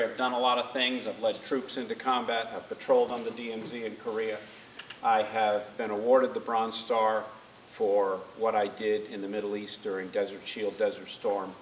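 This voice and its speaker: Low-voiced man from northern New York. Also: a little hiss or hum. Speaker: congressional candidate. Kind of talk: campaign speech. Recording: echoey hall, voice from a distance.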